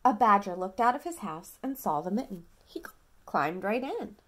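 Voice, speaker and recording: A woman in her thirties reading a picture book aloud to a toddler at home, dramatically, pausing to ask simple questions. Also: mid-range voice, sing-song. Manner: dramatic, childlike questioning and prompting. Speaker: parent reading a bedtime story to a toddler. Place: small quiet room at home.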